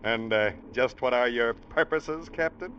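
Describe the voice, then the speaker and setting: An actor playing a defiant, hot-headed ship's first mate in old radio drama, talking tough in a clipped, taunting way. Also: male voice, medium pitch, unhurried, fairly bright tone, close to an everyday voice. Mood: sneering. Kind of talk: 1940s-50s radio drama acting. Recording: slightly noisy, dull old radio broadcast.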